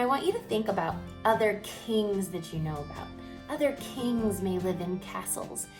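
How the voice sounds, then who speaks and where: A woman reading a story aloud to children in an expressive, dramatic way, with emphatic phrases and hushed moments. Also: mid-range voice. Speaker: storybook reader.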